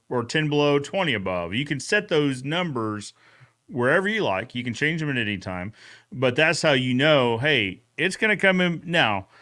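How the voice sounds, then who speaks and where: A man's voice: medium pitch, sharp tone. A man hosting a casual recap chat as a fence expert.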